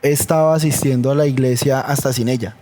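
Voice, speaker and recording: Earnest Colombian man with a mellow voice. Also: medium pitch, fairly even intonation. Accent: Colombian Spanish. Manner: earnest, measured and reflective. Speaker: church member guest. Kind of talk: personal testimony in conversation.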